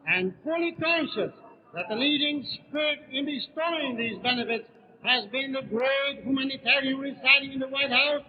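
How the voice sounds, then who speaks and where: A man's voice: extremely high pitch, moderate pace, phone-quality sound. A man from Puerto Rico giving a formal, emphatic seconding speech as a convention delegate on an old 1930s radio broadcast. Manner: emphatic, ceremonious. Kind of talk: formal political convention oratory. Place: large convention hall.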